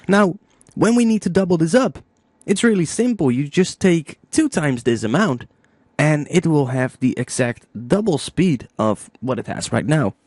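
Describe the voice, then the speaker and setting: Man giving a lively, dry-sounding tutorial. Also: on the high side, moderate pace, no echo. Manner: informal, thinking aloud. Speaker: music production tutor. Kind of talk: software tutorial narration, explaining step by step.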